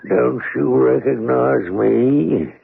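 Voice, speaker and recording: An actor playing a wizened old sailor, fawning and insinuating, in a radio drama. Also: male voice, medium pitch, drawn-out words, creaky, breathy edge, heavily acted. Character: wizened, cringing old sailor, sly and wheedling. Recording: muffled vintage radio broadcast.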